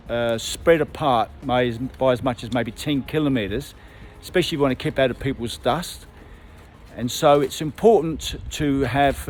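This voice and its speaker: A man's voice: fairly high pitch, a little hiss or hum. A middle-aged man, a tour guide, casually explaining car radios and aerials in a radio shop and workshop, with many ums and uhs. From Australia.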